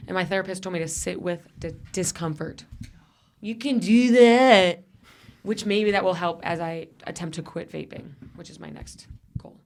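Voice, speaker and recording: A woman's voice: on the low side, moderate pace, darkish tone. Crude, jokey woman podcast host. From Buffalo, New York. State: voice often worn from vaping. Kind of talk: casual comedic chat. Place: podcast studio.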